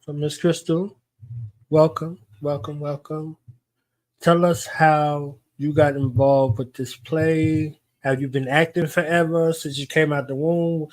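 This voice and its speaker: A male radio host with a fairly high-pitched voice. He speaks in an effusive, playful style and likes theatrical flourishes as he runs an interview. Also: flat delivery, unhurried, poor audio.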